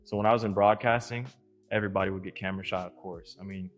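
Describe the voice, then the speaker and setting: A deep-voiced man talks quickly and in a matter-of-fact way, sharing his experience from his old broadcasting job. Faint music plays behind him. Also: steady tone. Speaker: video crew member and former broadcaster. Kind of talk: casual conversation.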